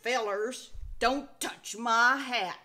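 A woman's voice: on the high side, very slow, bright, forceful tone, exaggerated voice. A woman voicing a storybook Old West sheriff with drawling, folksy cowboy talk. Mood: firm warning. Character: gruff-talking Old West sheriff, devoted to his hat.

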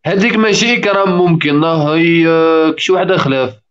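A man's voice: a little high, fairly bright tone. A man, hosting a casual live call-in stream and guiding callers on their mics. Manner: fast, coaching callers on their mic. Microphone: video call. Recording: dull lo-fi online call audio.

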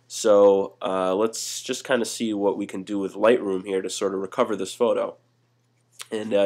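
A young man casually talking through photo editing steps in a screen-recorded tutorial, relaxed and full of "uh" fillers. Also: lowish voice. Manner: relaxed, many "uh" fillers and restarts.

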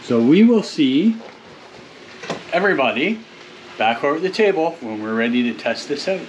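A man's voice: fairly high pitch, big pitch swings, normal speed, lo-fi sound, slight background noise. A middle-aged man hosting a casual home cooking vlog, chatting through the steps and talking to viewers as folks. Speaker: cooking show host. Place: home kitchen and dining room, indoors. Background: cutlery and dishes clinking.

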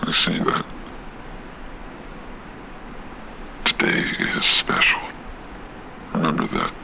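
An actor playing a disoriented, haunted man in a horror game monologue, speaking in a halting, brooding way with dreamy, fatalistic surrender. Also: pushed and bright, whispered, low-quality recording. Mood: resigned, entranced. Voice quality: gravelly, very deep. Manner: halting, hesitant, brooding delivery with trailing repetitions.

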